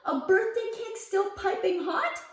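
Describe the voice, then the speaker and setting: A woman's voice: very high voice, unhurried, voice pushed up. A woman voicing a delighted, astonished mother in a children's storybook, exclaiming and gushing. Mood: delighted surprise. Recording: roomy echo, distant microphone.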